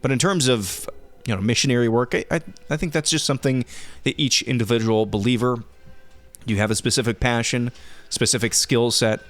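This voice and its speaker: A man in his thirties hosting a casual podcast, answering listener questions in a relaxed way with frequent restarts, his voice going hoarse. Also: medium pitch, brisk, faint music behind. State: losing his voice. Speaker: podcast host.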